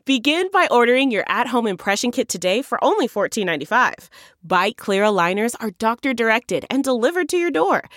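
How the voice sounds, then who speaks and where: A woman's voice: a little high, sing-song, a little fast, a bit bright, no room sound, studio quality. A woman announcer delivering a scripted commercial. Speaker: advertisement announcer.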